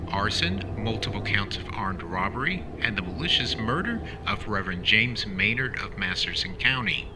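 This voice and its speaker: A formal radio newsreader with a lively, rising and falling delivery, as a man plays him. He speaks in measured bulletin cadence. The voice comes through a noisy radio broadcast with a clear hiss behind it. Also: fairly low pitch. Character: formal radio news announcer.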